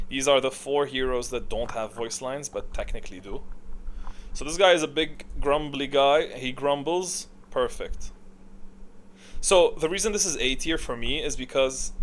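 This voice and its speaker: A man giving casual, opinionated tier-list commentary as a gaming video creator, musing aloud and questioning himself as he goes. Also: mid-range voice.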